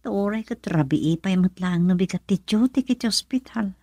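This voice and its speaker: Creaky, sorrowful Filipina wife. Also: female voice, medium pitch, expressive, moderate pace, warm tone, no room sound. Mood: brooding, hurt. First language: Ilocano. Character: sorrowful childless wife longing for a baby. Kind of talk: melodramatic Ilocano radio drama acting.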